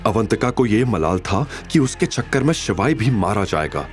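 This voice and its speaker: Male narrator, lowish pitch, animated dramatic storytelling for an audio series, music bed. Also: normal speed.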